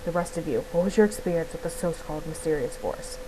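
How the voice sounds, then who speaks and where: An ancient, wise fantasy-game guardian as played by a woman, grave and formal. The voice is measured and on the low side, with clear noise in the recording. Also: close to an everyday voice. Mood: troubled, pondering.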